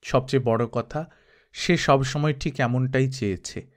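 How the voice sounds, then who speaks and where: A man reading a novel aloud as an audiobook narrator, with measured, expressive storytelling. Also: medium pitch.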